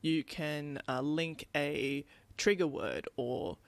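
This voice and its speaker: Low-voiced woman chatting, close dry sound. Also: takes it slowly, no room sound. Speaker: podcast co-host. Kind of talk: casual chat.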